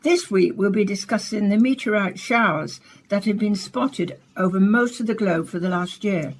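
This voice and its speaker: An actress as a slightly husky woman science presenter. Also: mid-range voice, moderate pace, natural voice. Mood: welcoming, composed. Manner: polished, formal, carefully phrased. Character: composed woman radio science presenter, courteous and inquisitive. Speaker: radio presenter. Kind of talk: scripted radio play, science broadcast delivery.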